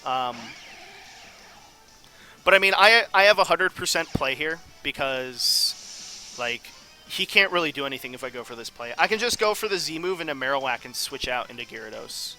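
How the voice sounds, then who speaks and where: A man's voice: fairly high pitch, lively intonation, normal speed, pushed and bright, faint music behind. A man giving casual, off-the-cuff commentary on a game as host, rambling and analytical as he thinks aloud. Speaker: gaming video host.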